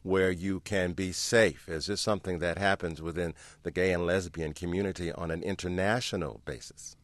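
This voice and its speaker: Low-voiced man hosting a radio call-in. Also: fairly even intonation, no echo. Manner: measured, deliberate questioning. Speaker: radio talk show host. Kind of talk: public radio call-in interview. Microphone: studio microphone.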